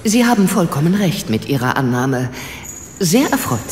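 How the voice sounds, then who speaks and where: Low-voiced, earnest lady investigator. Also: big pitch swings, moderate pace, a little mellow, echoey room, in a large hall, soft background music. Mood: courteous, probing. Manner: courteous, direct. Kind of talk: German radio play acting.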